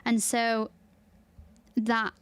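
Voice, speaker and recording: A woman's voice: on the high side, completely flat delivery, extremely slow, clean tone, no echo. A woman with a Lancashire accent, hosting a podcast in open, chatty talk and laughing easily. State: tired after a 24-hour stream. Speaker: podcast host. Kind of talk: casual chat.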